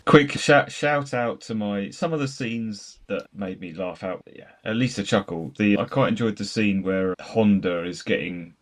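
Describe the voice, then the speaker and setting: A man's voice: fairly low pitch, moderate pace, hardly any pauses. A man chatting casually as a panelist on a film discussion, rambling through anecdotes. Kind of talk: casual chat.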